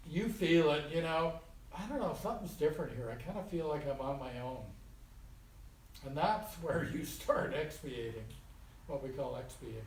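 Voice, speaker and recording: A man giving an informal spiritual talk to a small group in a folksy, colloquial way, repeating phrases for emphasis. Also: on the high side. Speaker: spiritual teacher. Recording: roomy, distant mic with faint noise.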